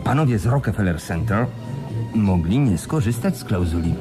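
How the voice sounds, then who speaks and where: A man's voice: on the low side, expressive, normal speed, over music, close to an everyday voice. A male actor in a radio play playing an eager, puzzled confidant, hesitant and full of probing interjections. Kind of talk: Polish radio play acting.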